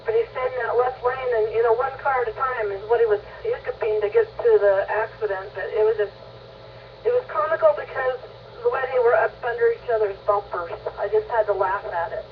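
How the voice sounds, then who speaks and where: A woman's voice: a little high, fairly quick, a little hiss or hum. Woman on tinny, distant ham radio. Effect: two-way radio. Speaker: amateur radio operator. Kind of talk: casual radio chat.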